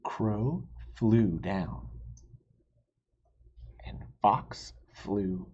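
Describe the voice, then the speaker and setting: A man reading a children's storybook aloud like a teacher, animated and stopping to ask the children questions. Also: on the low side, very slow, breathy edge, highs cut off.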